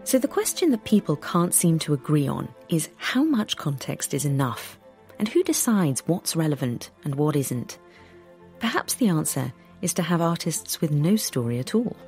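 A woman's voice: low voice, big pitch swings, normal speed, darkish tone, soft background music. A woman presenting a radio documentary, narrating in a scripted, inquisitive way.

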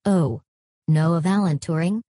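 A woman voicing an early therapist chatbot with a low, dark, husky voice. She answers in a flat, evasive way and turns each question back on the asker. The sound is close and dry. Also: measured pace, dry recording. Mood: deadpan. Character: literal-minded early therapist chatbot, female.

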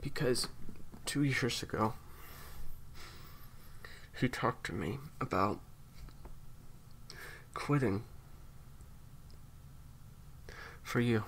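A man playing a grieving, guilt-ridden secret agent in an audio roleplay, choked up and halting, sometimes dropping toward a whisper. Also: mid-range voice, a bit bright, slight background noise, low-quality recording. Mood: anguished, self-blaming. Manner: halting, faltering, breaking into whispers. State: upset, choked up with grief. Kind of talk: intimate audio roleplay acting.

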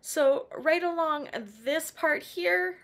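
Middle-aged woman, raspy from laryngitis, high voice, calm how-to sewing walkthrough. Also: takes it slowly. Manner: patient, practical, with some "um" and restarts. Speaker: sewing tutorial host. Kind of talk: step-by-step sewing instruction. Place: home sewing room.

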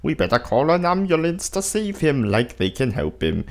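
A man voicing a gloomy, drunken, foul-mouthed sea captain with a gravelly voice in a cartoon parody. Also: on the high side, big pitch swings. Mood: grumbling, musing. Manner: gloomy, slow, crude. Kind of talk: crude cartoon parody dub.

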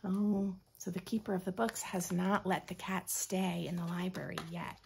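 An American woman in her thirties reading a picture book aloud with expressive, teacherly asides to young children. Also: on the low side, normal speed, vocal fry, slight background noise. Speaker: children's story host. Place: small furnished room at home.

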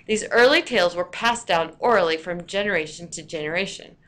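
Woman teacher with a lowish voice. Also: brisk, fairly bright tone. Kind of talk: scripted lesson narration for listeners.

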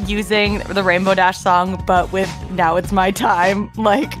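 A woman's voice: medium pitch, little pitch movement, measured pace. A woman reacting casually in a group commentary, playful, informal and exclamatory. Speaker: voice actress in a cast commentary. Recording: music playing behind the voice.

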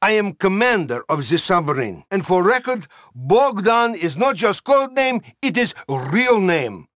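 An actor playing a blustering Russian submarine commander in a video game, with a Russian accent, speaking emphatic, repetitive broken English. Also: male voice, sits high, sing-song, unhurried, a bit bright, no echo, studio quality, heavily acted. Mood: earnest, defiant.

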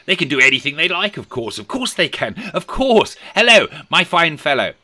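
Man performing a high, impassioned reformed miser. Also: huge pitch swings, unhurried, bright, forceful tone, no room sound, full character voice. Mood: giddy, exuberant. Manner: theatrical, exclamatory. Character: frightened, pleading miser prime minister turned gleefully reformed. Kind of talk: dramatic audiobook character reading.

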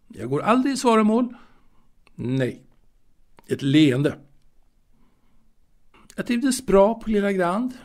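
An actor playing a fastidious, proud waiter and former seaman in an audio drama monologue, confiding in a halting, repetitive way. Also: on the high side, darkish tone. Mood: prim, aggrieved. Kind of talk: intimate dramatic monologue, told to a listener.

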